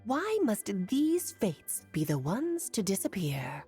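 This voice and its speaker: A woman voicing an ageless, cheerful and wise witch who speaks in a lilting, gently teasing way. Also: medium pitch, very animated, takes it slowly, loud music behind the voice. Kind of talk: video game voice acting.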